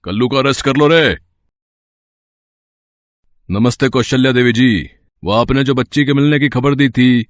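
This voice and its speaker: A man's voice: medium pitch, measured pace, pauses often, lightly acted. A man playing a courteous police inspector in a cartoon moral story, formal and businesslike. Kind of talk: animated moral story acting.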